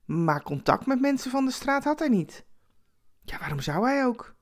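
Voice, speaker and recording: A woman narrating a scripted story expressively, with conversational asides and dramatic pauses. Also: sits high, very animated.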